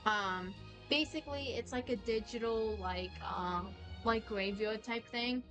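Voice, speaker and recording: A woman's voice: on the high side, measured pace, fairly bright tone, faint music behind, low-quality recording. A young woman game streamer commenting casually, hesitant and rambling with lots of filler words.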